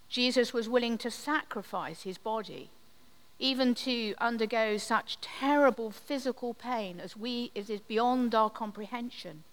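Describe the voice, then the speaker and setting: A woman leading a communion service, giving a gentle, reflective sermon with measured pauses. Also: on the high side. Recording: long hall reverb.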